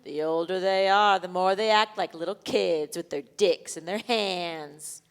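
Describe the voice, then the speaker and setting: A woman's voice: on the low side, a little slow, clear, resonant tone. A woman playing a brash, crude, flirtatious bar regular in a live staged play reading, blunt and casual in manner. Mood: flirty, brash. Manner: foul-mouthed, casual and blunt. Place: theatre stage before a live audience. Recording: reverberant theatre hall, live stage.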